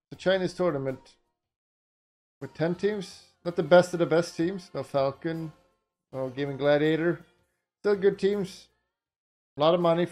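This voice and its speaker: Man, higher-pitched voice, casual livestream reaction, blunt, exasperated, sweary, music in the background. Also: a little mellow. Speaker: live streamer reacting to a tournament broadcast. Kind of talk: casual livestream chat and commentary.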